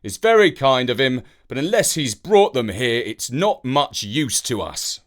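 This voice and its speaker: A narrator voicing a mild, put-upon father with a measured, grumbling manner in a children's storybook. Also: medium pitch, big pitch swings. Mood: sceptical.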